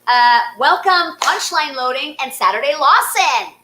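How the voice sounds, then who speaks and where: A woman's voice: sits high, expressive, unhurried, bright, forceful tone, slight background noise, rough recording. A woman hosting a casual live online show in a playful, slightly hesitant way. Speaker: host.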